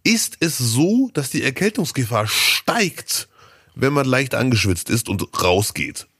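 A man with a slightly hoarse, scratchy voice from a cold, co-hosting a casual podcast and telling rambling stories full of fillers. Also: mid-range voice, big pitch swings, unhurried, a bit bright, no echo, studio quality. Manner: chatty, storytelling, rambling with fillers. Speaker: podcast co-host.

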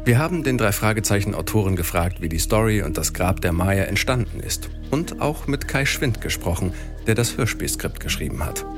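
A man's voice: lowish voice, expressive, moderate pace, over music. A man presenting a feature in scripted narration.

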